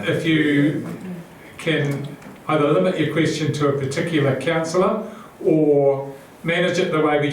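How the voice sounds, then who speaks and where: A man's voice: fairly high pitch, far from the mic, slight background noise. A man with a New Zealand accent chairing a public candidates meeting, easygoing and hesitant with many fillers. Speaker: meeting moderator. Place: chapel hall. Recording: very echoey hall, picked up from afar.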